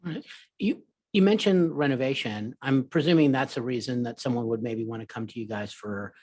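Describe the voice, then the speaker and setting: A man's voice: medium pitch, a little fast, a little mellow. A man co-hosting a podcast, chatting casually and asking short, easygoing questions. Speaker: podcast co-host.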